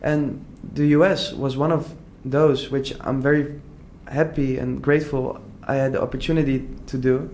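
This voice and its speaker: A young man from the Netherlands talks through his story in a loose, earnest interview. His voice sits at a middling pitch and he circles back often, stacking "like" and false starts as he thinks aloud. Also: flat delivery, normal speed, few pauses, a little mellow, a little hiss or hum. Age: young adult. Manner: earnest, rambling, many "like" fillers and restarts. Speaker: entrepreneur guest. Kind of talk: casual conversational interview.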